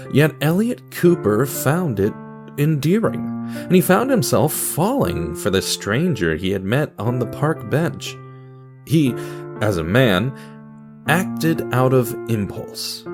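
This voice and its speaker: A man reading scripted third-person narration as the narrator of an audio story. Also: mid-range voice.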